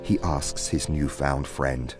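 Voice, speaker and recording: Low male narrator, very expressive, storybook fantasy with soft music behind. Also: slow.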